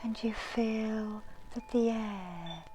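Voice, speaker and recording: A middle-aged woman narrating an ASMR guided meditation in a slow, hushed, soothing manner, often slipping into whispers. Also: fairly high pitch. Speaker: guided meditation host, Reiki healer. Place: indoors in a small room. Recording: clear background noise and ambience.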